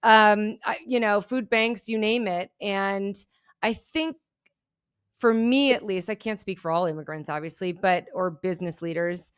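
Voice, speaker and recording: A woman's voice: medium pitch, a little slow, smooth. A middle-aged woman from Germany, a native German speaker, telling her story with energy and candor as a podcast guest. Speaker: guest, keynote speaker and entrepreneur. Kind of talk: podcast interview.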